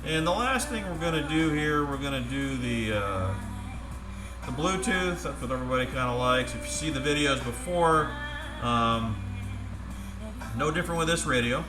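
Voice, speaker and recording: A man giving a casual, step-by-step product demonstration in a halting, plain-spoken way. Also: mid-range voice, animated. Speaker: presenter demonstrating converted car radios. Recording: roomy echo, music playing from radio. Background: music from the demonstrated radio.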